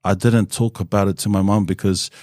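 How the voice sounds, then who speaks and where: A man in his thirties with a low voice shares his story in an easy, candid way. He fills his pauses with "um" and "kinda". The sound is close and dry, with no room around it. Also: no room sound. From New Zealand. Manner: relaxed and open, with frequent "um" and "kinda". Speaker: guest.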